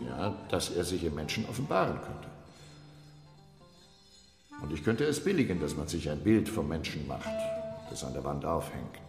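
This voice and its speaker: An actor playing an imperious, devout Ottoman sultan in a literary radio drama, speaking in a measured, formal and commanding way. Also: rumbling low, exaggerated voice. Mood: grave, pondering. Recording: echoey hall with long reverb, music behind.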